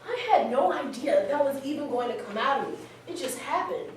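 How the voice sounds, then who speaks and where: A candid, animated woman, played by an actress making a confessional stage monologue. Her voice is high and comes from far off in a very echoey, faintly noisy room. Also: a little fast. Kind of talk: conversational monologue in a staged reading.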